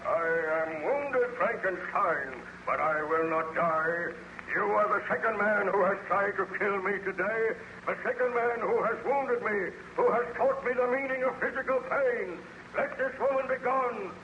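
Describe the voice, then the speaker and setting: An embittered, hideous monster, declaims in a fairly high voice with formal eloquence. It is old radio horror, with a dull sound and heavy noise all around. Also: male voice, measured pace. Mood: wounded, defiant. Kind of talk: old-time radio horror drama acting. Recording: muffled, very noisy old radio recording.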